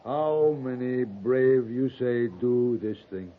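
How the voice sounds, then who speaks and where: An actor playing a proud, guarded Cheyenne chief who speaks solemn, halting, stilted broken English in 1950s radio western style. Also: male voice, mid-range voice, natural voice. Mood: grave. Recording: noisy, muffled lo-fi 1950s radio recording.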